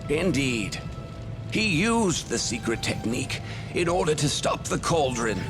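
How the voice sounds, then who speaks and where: Casting: smug game villain sorcerer, theatrical swooping delivery, music underneath. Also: male voice, on the high side, big pitch swings. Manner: haughty, drawn-out, taunting. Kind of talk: English dub game cutscene acting.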